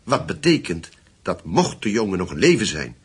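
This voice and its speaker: A grave old-war comrade in a radio play. The voice is very deep and heavily husky, with weighty, measured phrases that probe and confide. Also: male voice, very animated, heavily acted. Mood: grave, pressing. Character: grave German war veteran, the widow's confidant. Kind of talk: radio drama acting.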